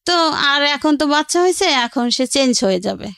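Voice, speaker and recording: A woman's voice: high voice, lively intonation, fairly quick, vocal fry, fairly bright tone, no echo, clean studio sound. A woman with a Bangladeshi Bengali accent telling her own life story in a colloquial, rambling way, often quoting what relatives said. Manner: colloquial, rambling narration with quoted family speech. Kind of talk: personal storytelling in conversation.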